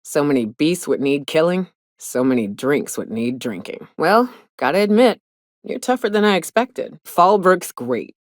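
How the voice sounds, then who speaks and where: An actress playing a blunt, cynical frontier huntress and guide in a video game, with a wry, plainspoken, folksy way of talking. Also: female voice, sits low, expressive, moderate pace, dry recording, clean studio sound. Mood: dry, fond. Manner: wry, plainspoken, swearing, folksy rustic phrasing. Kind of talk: video game character dialogue.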